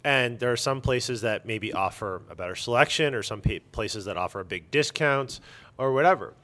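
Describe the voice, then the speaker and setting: A man's voice: medium pitch, lively intonation, moderate pace, sharp tone. A man talking casually and emphatically in an interview, giving blunt opinions as a shop owner.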